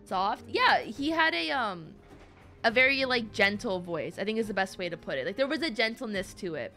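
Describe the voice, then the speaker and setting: A woman game streamer giving casual, rambling live commentary in an excitable, giggly way, on a close mic. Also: mid-range voice, normal speed, faint music behind.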